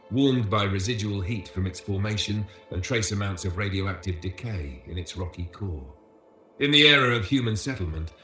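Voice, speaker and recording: A man giving scripted educational documentary narration as a tour guide, in a measured, solemn manner. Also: lowish voice, bright, forceful tone, roomy sound. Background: music.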